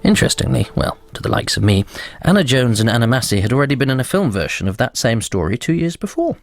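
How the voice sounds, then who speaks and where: A man's voice: mid-range voice, sing-song, quick pace, vocal fry. A British man giving scripted continuity commentary as a radio presenter.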